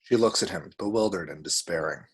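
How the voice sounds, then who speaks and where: Low, husky man narrating a screenplay. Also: fairly quick. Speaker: reader of action descriptions. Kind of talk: scripted screenplay narration with spoken sound effects.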